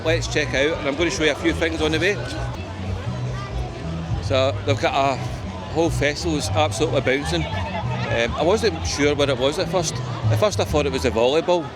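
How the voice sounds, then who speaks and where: Man, broad Scottish accent, slightly high voice, casual walking vlog, ums and wee, outdoor crowd, festival music. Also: normal speed. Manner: chatty, rambling, uses wee and aye, many ums. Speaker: travel vlogger. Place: busy outdoor seaside promenade. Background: crowd chatter and festival music.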